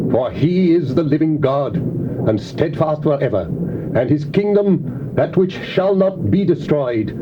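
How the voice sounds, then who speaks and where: A British actor playing a majestic, fervent king in a scripture drama, with regal, declamatory delivery. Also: a little high. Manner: loud-voiced, declamatory, regal. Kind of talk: dramatized scripture, theatrical oration. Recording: dull, noisy old cassette tape.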